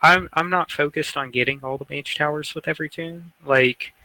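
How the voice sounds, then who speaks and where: A man's voice: fairly high pitch, moderate pace, sharp tone, no echo, poor audio. A man chatting casually as a co-host on a gaming podcast.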